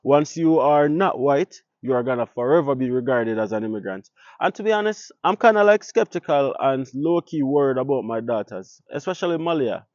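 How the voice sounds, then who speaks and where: A man's voice: medium pitch, lively intonation, a little fast, hardly any pauses, a little mellow, dry recording, dull audio. A Jamaican man, a native Patois speaker, talking casually to his viewers and putting rhetorical questions to them. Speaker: vlogger. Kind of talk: casual talk to camera.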